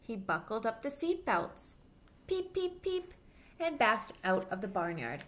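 A woman in her thirties reading a children's picture book aloud, with simple, deliberate phrasing for young listeners. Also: mid-range voice, sing-song, a bit bright. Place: small plain-walled room indoors.

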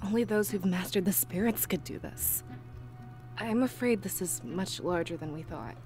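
An actress playing a sharp-witted, skeptical female beast fighter in a fantasy game. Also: medium pitch, normal speed, faint music behind, close to an everyday voice. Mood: wary skepticism.

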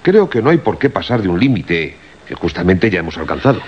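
An actor with a husky voice and a Castilian Spanish accent playing a cautious, concerned radio crew colleague who reasons calmly in a radio drama. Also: male voice, low voice, a little fast, exaggerated voice. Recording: muffled radio recording.

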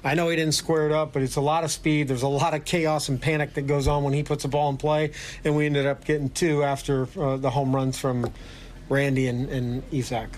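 Measured middle-aged man at a press conference. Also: fairly high pitch, flat delivery, slight background noise. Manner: measured, hesitant with fillers and restarts. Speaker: baseball manager. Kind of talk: postgame press conference answers. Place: indoor press conference room.

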